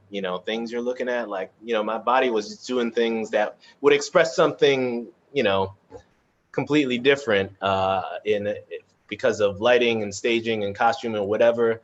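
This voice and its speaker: A man's voice: fairly low pitch, lo-fi sound. A man moderating a casual panel and sharing his own thoughts, hesitant and thinking aloud. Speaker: moderator and cast member.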